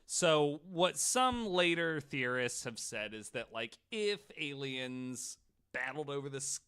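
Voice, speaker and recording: A man's voice: medium pitch, sing-song, slow pace, sharp tone, smooth. A man hosting a casual podcast, telling a story with plenty of swearing and "like" thrown in. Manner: swears freely; peppers talk with "like" and "right". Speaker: podcast host.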